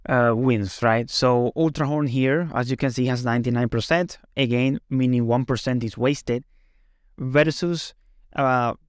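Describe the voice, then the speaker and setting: A man's voice: mid-range voice, dry recording, studio quality. A man giving a webinar product presentation in an explanatory way, often checking in with "right?". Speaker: company presenter.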